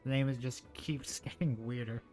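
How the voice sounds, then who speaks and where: Male game streamer: mid-pitched, casual, jokey rambling, faint game music underneath. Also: steady tone, moderate pace, a little mellow. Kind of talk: casual live game commentary.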